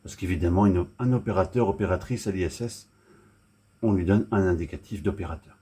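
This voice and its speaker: A man's voice: low voice, a little fast, mellow, a little hiss or hum. A man narrating as he listens in on a radio contact, chatty and thinking aloud. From France. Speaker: amateur radio listener commenting. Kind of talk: casual commentary. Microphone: smartphone filming near receiver.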